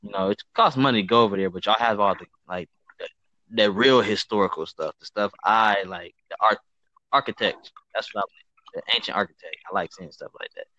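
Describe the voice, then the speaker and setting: Low-voiced man, rambling casual chat. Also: expressive, a little fast, gravelly, sharp tone, no room sound. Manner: loose, rambling, many fillers like "like" and "you know". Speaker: podcast co-host. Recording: muffled low-fidelity audio.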